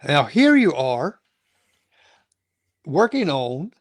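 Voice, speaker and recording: A man's voice: a little high, very animated, extremely slow, dry recording, clean studio sound. A man from North Carolina hosting a casual interview, rambling with frequent chuckles and restarts. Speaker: host.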